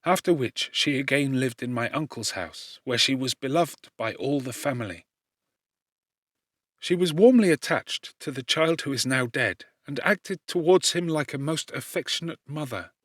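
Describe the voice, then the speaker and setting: Man reading as an earnest, brave young gentlewoman. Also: mid-range voice, expressive, normal speed, no room sound, clean studio sound. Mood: earnest, composed. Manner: formal, earnest, firm. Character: brave young gentlewoman defending accused friend. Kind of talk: audiobook character reading.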